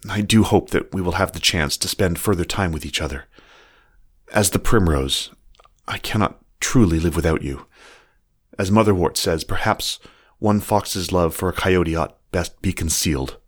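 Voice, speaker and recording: Smitten young fox played by a man, deep voice, earnest formal letter-reader. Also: normal speed, breathy edge. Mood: tender. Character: lovesick young male fox writing letters. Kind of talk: scripted reading of letters.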